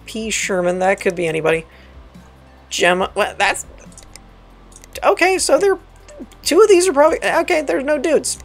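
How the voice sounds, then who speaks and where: A woman with a raspy, dry voice giving casual let's play commentary, reading game text aloud and correcting herself as she goes. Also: mid-range voice. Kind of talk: casual gameplay tutorial commentary.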